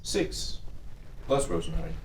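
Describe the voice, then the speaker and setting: A man acting a stiff, humorless patrician tycoon in his forties, formal and measured, in a TV drama table read. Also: close to an everyday voice. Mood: measured, cool. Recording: roomy, distant mic with noise.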